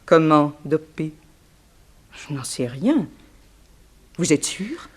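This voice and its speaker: Dignified, formal society wife. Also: female voice, low-pitched, sing-song, takes it slowly, slightly rough, full character voice. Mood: guarded. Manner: formal, precise, genteel. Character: dignified, wronged upper-class wife, formal and guarded. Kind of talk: 1960s French radio drama acting.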